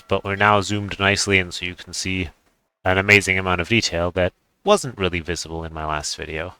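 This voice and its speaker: A man's voice: low voice, pushed and bright, smooth, rough recording. A man giving casual, unscripted commentary on a game, rambling in an offhand way as he thinks aloud. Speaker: gaming video creator.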